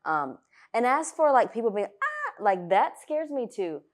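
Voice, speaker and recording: A young woman with a deep voice, chatty and agreeable as she co-hosts a casual chat. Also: wildly swooping pitch, unhurried. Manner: chatty, agreeable, laughs often. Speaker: podcast co-host, former cheerleader.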